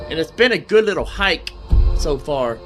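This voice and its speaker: A man's voice: on the high side, expressive, moderate pace, a bit bright. Man, casual gaming streamer, folksy, thinking aloud, repeats himself, music behind. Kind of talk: casual live game commentary.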